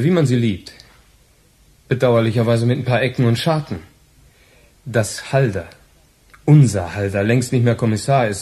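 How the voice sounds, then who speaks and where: An actor playing a dry, ironic, officious police detective in a radio crime drama, with a husky voice. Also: male voice, mid-range voice, dark tone. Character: sardonic male police detective questioning a witness. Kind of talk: 1980s German radio crime drama acting.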